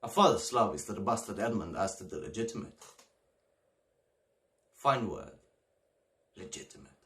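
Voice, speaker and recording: A man performing a classical stage soliloquy as a bitter, scheming bastard son, deliberate and brooding. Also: fairly low pitch, animated, fairly bright tone. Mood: scornful, defiant. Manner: deliberate, brooding, hissing to declaiming.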